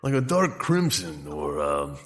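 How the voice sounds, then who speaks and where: A man's voice: rumbling low, wildly swooping pitch, measured pace, heavy background noise, full character voice. A man playing a bashful, awkward henchman who rambles hesitantly in a comic audio drama. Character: bashful, lovelorn male gang henchman, casually gruesome.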